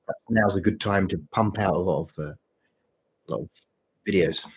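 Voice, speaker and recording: A man with a slightly sore throat and husky voice chats casually to livestream viewers as host, halting and repeating his phrases. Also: sits low. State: mild sore throat, voice deeper than usual. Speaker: channel host and story writer.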